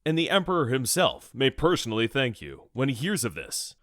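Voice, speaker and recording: A man playing a grateful imperial official in a slow, theatrical fantasy game audition read. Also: mid-range voice, huge pitch swings, a bit bright, no echo, faint music behind. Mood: grave, appreciative. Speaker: auditioning amateur voice actor.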